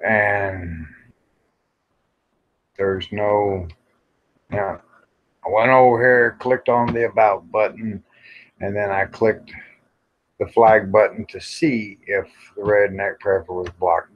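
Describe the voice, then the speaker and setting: Male livestream host, fifties. Very slow, folksy rambling, half muttered to himself, through dull lo-fi sound. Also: lowish voice. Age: 50s. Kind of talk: casual rambling live chat.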